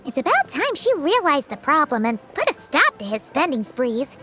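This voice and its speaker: A woman voicing a small, chirpy, excitable fairy companion with a squeaky childlike voice in a video game, with music behind. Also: normal speed. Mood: explaining. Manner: excitable, refers to self in third person. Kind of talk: video game cutscene dub acting.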